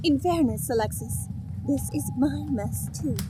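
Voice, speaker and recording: A woman's voice: high-pitched, measured pace, noisy background. A woman playing a kindly, earnest sugar plum fairy in an audio drama, with a sweet manner. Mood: reassuring. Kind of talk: amateur audio drama acting.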